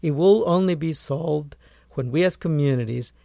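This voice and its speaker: Gravelly man from Guatemala. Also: on the high side, normal speed, dark tone, no room sound, clean studio sound. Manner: earnest, restarts phrases often. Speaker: poultry farmer and author. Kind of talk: conversational interview answers.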